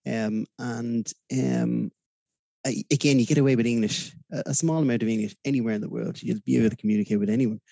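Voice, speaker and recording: A man's voice: mid-range voice, steady tone, a little fast, gravelly, dark tone, dry recording. A man with a Dublin Irish accent as a podcast guest, talking in a rambling, hesitant way with many fillers. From Ireland. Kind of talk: casual interview chat.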